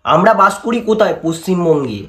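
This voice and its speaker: Young west bengali guy doing prank calls, kinda high voice, jokey overdramatic pleading. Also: big pitch swings, fairly quick, sharp tone. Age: young adult. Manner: playful, mock-dramatic, pleading. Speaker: prank-calling vlogger. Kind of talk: casual vlog chat and prank phone calls.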